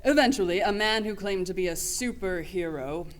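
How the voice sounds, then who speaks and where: A woman's voice: mid-range voice, moderate pace, lightly acted. A woman playing a stern, matter-of-fact female lieutenant in a radio play, formal and clipped. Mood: factual, steady.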